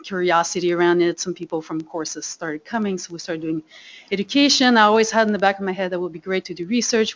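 A woman's voice: fairly low pitch, dull audio. A woman from Quebec with a French accent, giving a chatty, self-deprecating talk at a farming conference, full of ums and restarts. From Quebec, Canada. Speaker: conference speaker, seed farmer. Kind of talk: live conference presentation. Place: conference room.

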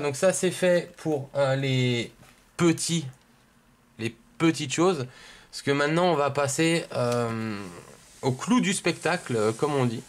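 Guy doing a casual unboxing, medium pitch, hesitant and rambly, bit of noise and clicks. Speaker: video host, card collector. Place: indoors at a wooden table.

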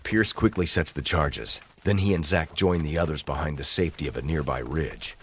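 A man's voice: rumbling low, moderate pace, a bit bright, a little hiss or hum. A man narrating a military thriller audio drama in a grave, scripted storytelling style.

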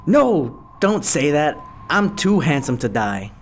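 Man acting a gullible hungry wolf, children's puppet theatre, very lively pitch swings, theatrical exclamations. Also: slow pace, a little hiss or hum, heavily acted. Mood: panicked. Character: hungry, vain, gullible male wolf villain.

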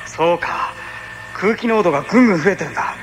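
A man's voice: high-pitched, expressive, fast, music in the background. An actor playing a determined, anxious husband and father settling a new planet, in a dramatic radio drama. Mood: hopeful, reflective. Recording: 1980s radio recording with background noise.

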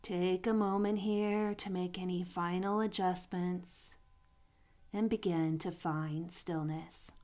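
Soft-spoken woman guiding a sleep meditation. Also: fairly low pitch, steady tone. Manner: soothing, unhurried, with pauses between phrases. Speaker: meditation guide.

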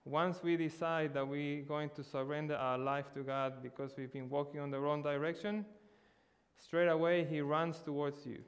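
A man preaching a sermon in earnest, slowing down to stress key words. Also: a little high, flat delivery, long reverb tail. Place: church hall.

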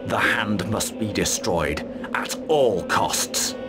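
An actor playing a bold, resolute mercenary commander in a video game cutscene, gruff and blunt in delivery. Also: male voice, fairly low pitch, expressive, slow pace, over music.

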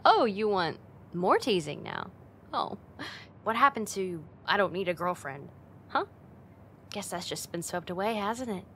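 Casting: actress as teasing young female roommate, ASMR roleplay, unhurried, mid-pitched, playful and affectionate, close-miked with some background noise. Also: very animated, fairly bright tone. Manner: teasing, intimate, giggly, slow and coaxing.

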